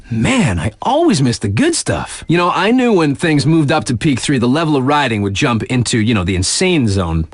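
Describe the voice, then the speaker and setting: An actor playing a hyped but laid-back radio DJ in a snowboarding video game, chatting enthusiastically in casual slang about events. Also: male voice, fairly high pitch, no echo, studio quality. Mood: mock regretful.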